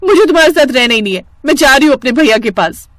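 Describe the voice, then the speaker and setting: A woman's voice: sits high, lively intonation, fast, fairly bright tone, no echo, studio quality, voice pushed up. A woman voicing a vain, spiteful young sister-in-law with a mocking, boastful manner in a cartoon story. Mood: shocked, angry.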